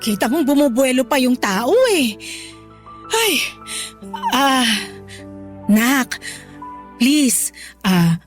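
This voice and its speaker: A woman's voice: high-pitched, expressive, drawn-out words, long pauses, faint music behind, exaggerated voice. A woman acting a protective, evasive mother of grown children in a Filipino audio drama, pleading and hesitant. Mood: flustered, evasive.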